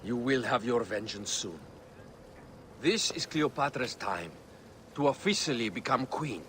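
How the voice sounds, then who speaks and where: A man's voice: mid-range voice, expressive, unhurried, fairly bright tone. A man playing a composed, earnest envoy and loyal plotter of an Egyptian queen in a video game. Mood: resolute. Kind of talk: video game dramatic acting. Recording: faint background noise.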